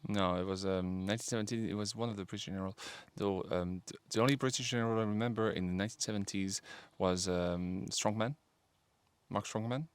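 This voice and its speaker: A man with a French accent chatting about films, halting and searching for words in English. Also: fairly low pitch, fairly even intonation, clean tone. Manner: halting, searches for words, non-native grammar. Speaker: podcast co-host. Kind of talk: casual film discussion.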